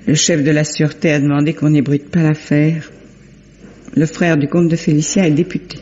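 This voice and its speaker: A woman's voice: deep voice, flat delivery, normal speed, mellow, lightly acted. An actress playing a brave, devoted woman sleuth in a French radio drama, speaking earnestly and with emotion. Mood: grave, sad. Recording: muffled old radio recording, faint music.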